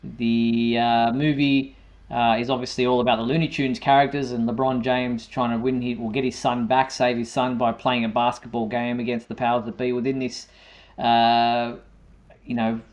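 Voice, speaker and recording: A man presents entertainment news as a show host. His voice is medium-pitched, and he talks conversationally with a halting delivery. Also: flat delivery, dull audio. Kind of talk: semi-scripted fan news presenting.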